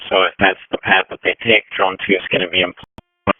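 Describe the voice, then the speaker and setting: A man coordinating air operations over a police two-way radio, speaking procedurally with halting pauses. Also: deep voice, flat delivery, no room sound. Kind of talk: radio dispatch traffic. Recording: narrowband, dull police radio transmission.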